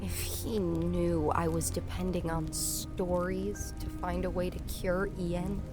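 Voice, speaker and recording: A woman's voice: lowish voice, level tone, slow, music in the background. A woman playing a determined young girl weighed down by guilt, in a family audio drama. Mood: grieving, guilty.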